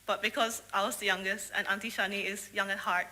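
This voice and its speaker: A woman's voice: medium pitch, level tone, brisk, pushed and bright. A young woman from Australia sharing a testimony about a mission trip with a congregation, earnest, with frequent fillers. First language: English. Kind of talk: prepared testimony talk to a congregation.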